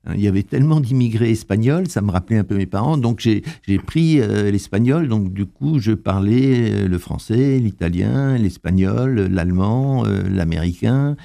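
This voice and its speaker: An older man talks through his past in an interview, stopping often to search for words. His voice is low and mellow, with a gravelly edge. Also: animated. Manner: hesitant, full of pauses and fillers. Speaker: interviewee, translator. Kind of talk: conversational interview answers.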